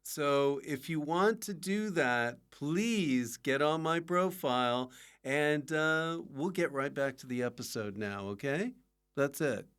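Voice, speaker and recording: A man hosting a podcast in a casual, comic way, with an offbeat, halting manner. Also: on the high side, lively intonation, a bit bright, clean tone. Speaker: podcast host.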